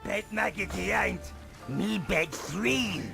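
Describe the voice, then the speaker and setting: An actor playing a dim, mischievous little minion in a video game, speaking in crude, broken phrases. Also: male voice, sits high, expressive, slow pace, gravelly, music in the background, full character voice. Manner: broken, grammarless speech. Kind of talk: video game creature acting.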